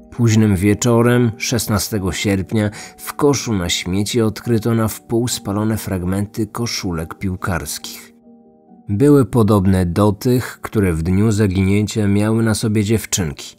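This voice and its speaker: A man's voice: fairly low pitch, a little mellow. A man reading a scripted true crime story as the narrator and host. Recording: music under the voice. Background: background music.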